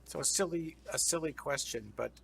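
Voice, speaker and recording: A man's voice: a little high, a little fast, gravelly, fairly bright tone, husky. A man hosting a film festival interview, introducing guests and asking friendly, rambling questions. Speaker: interview host.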